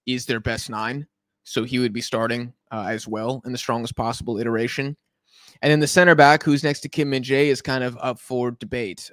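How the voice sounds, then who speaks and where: A man's voice: medium pitch, a bit bright, no room sound. An American man casually hosting a live football watch-along from his couch at home, rambling and answering chat comments as they come in. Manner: laid-back, rambling, answers chat on the fly.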